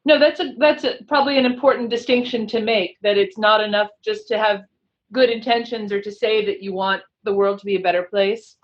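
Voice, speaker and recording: A woman's voice: medium pitch, fairly even intonation, brisk, muffled recording. A woman interviewer speaking in an articulate, reflective way. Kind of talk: conversational interview.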